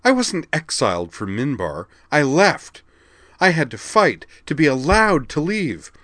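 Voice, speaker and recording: A man's voice: mid-range voice, moderate pace, fairly bright tone, dry recording, studio quality, pitched above the performer's own voice, close to an everyday voice. A man reading the part of an outraged young alien woman student, snappish and indignant.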